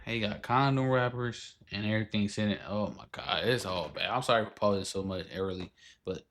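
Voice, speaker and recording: A man's voice: on the low side, fairly quick, a bit bright, slight background noise. A man hosting a reaction video with casual, slangy commentary, exclaiming and repeating himself.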